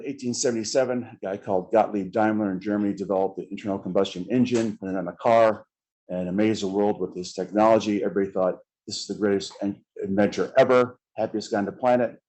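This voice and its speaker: A middle-aged man introducing himself as a panelist at a conference table, measured and filled with "uh" pauses. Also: fairly low pitch, fairly even intonation, a little mellow, low-quality recording. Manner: measured, many "uh" fillers, gestures with hands.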